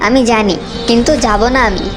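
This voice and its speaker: A woman's voice: a little high, fairly quick, music in the background, close to an everyday voice. A woman voicing a timid, hesitant monkey in a children's cartoon. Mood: fearful.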